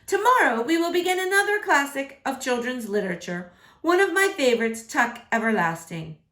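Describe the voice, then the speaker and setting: A woman's voice: sits high, sing-song, a little fast, a bit bright, reverberant, voice pushed up. A woman voicing a prim, sarcastic female English teacher with exaggerated, emphatic classroom delivery in a read-aloud story. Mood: bright, announcing.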